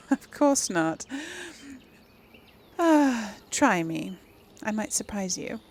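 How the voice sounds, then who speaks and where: A woman's voice: mid-range voice, animated, drawn-out words. A woman hosting a casual game role-play, musing aloud and playfully voicing her own dialogue choices. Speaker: gaming video host. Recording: faint background noise.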